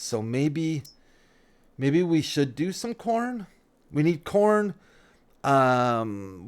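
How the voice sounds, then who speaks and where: A man giving casual let's-play commentary on a farming game, thinking aloud, rambling and often correcting himself mid-sentence. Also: mid-range voice.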